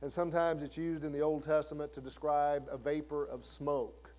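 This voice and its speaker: A man's voice: on the high side, flat delivery, moderate pace, darkish tone. A man in his fifties preaching a sermon in a conversational way, asking the listeners questions. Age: 50s. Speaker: pastor.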